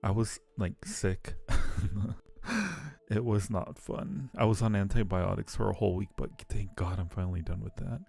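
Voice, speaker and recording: A man's voice: low voice, steady tone, moderate pace, vocal fry, dark tone, soft background music. A man chatting casually and playfully with viewers on a livestream, teasing them affectionately. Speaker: live streamer hosting a chat.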